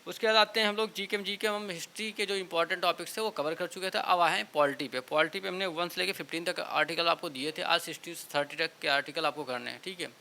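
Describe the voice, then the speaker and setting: A man's voice: on the high side, steady tone, fast talker, hardly any pauses, bright, forceful tone. A man giving informal exam-prep advice to students, with frequent check-in tags in a colloquial manner. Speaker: exam coaching teacher and channel host. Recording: clear background hiss.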